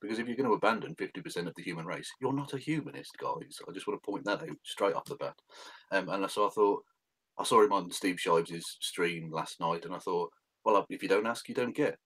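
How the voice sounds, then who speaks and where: Low-voiced Englishman. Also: fairly quick, a bit bright, breathy edge, rough recording. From England. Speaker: co-host. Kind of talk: casual, joking online chat.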